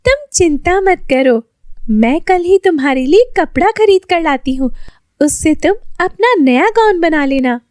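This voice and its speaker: A woman's voice: very high-pitched, animated, measured pace, a little mellow, no room sound, studio quality, voice pushed up. A woman voicing a friendly, generous young girl who coaxes her friend eagerly in a children's story. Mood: eager, insistent.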